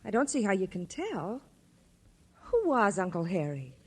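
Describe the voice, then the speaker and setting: Husky, lively detective's gal assistant. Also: female voice, mid-range voice, measured pace. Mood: questioning. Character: curious, alert female assistant to a detective. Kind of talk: 1940s-50s radio drama acting.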